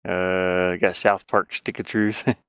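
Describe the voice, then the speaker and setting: A man chatting casually on a game livestream, rambling and thinking aloud in a halting way. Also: low voice, flat delivery, a bit bright, clean tone, dry recording, clean studio sound. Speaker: game streamer and indie game developer.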